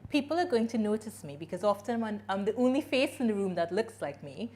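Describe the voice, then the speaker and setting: A woman's voice: medium pitch, expressive, brisk, darkish tone, slight background noise. A middle-aged woman from Trinidad with a Trinidadian accent, answering audience questions at a conference in an earnest, conversational way. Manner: earnest, conversational, with frequent "you know" and restarts.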